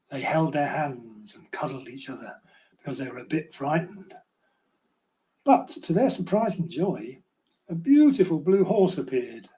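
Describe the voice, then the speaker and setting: An older man reading a children's storybook aloud in a gentle, unhurried way. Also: a little high, rough recording. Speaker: children's author reading own book.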